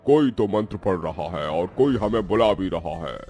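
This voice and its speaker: A man's voice: deep voice, lively intonation, normal speed, mellow, soft background music. A man voicing a hungry, domineering male ghost in a cartoon horror tale, scornful and boastful in manner. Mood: irritated. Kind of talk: animated horror story dialogue.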